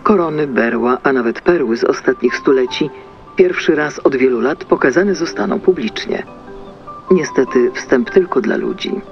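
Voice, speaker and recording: Formal newsreader through a radio filter. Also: male voice, mid-range voice, animated, normal speed. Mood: brisk, formal. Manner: announcer-like, polished. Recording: dull radio sound, music behind.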